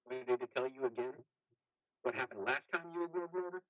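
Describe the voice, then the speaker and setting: Man playing a fast-talking scolding soldier. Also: mid-range voice, a bit bright, dry recording, highs cut off, close to an everyday voice. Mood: scornful. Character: scolding ghost of a dead male soldier. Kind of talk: dramatic play reading.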